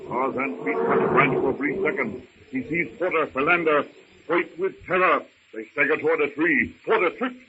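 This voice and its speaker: A man narrating in a dramatic 1930s radio style, with intense, suspenseful, clipped phrasing. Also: a little high. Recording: tinny, hissy old radio recording.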